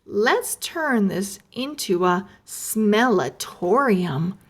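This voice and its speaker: A woman reading a children's chapter book aloud, slow and expressive, raising her voice for the characters' lines. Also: medium pitch, gravelly. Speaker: read-aloud narrator. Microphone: close mic, camera over an open book.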